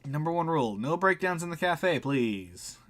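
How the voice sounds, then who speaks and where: A man's voice: a little high, animated, normal speed, fairly bright tone, faint music behind, voice pushed up. A man voicing a laid-back, wry and reassuring cafe barista in a visual novel. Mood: firm, exasperated. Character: laid-back, dry, kindly cafe barista guiding the dead.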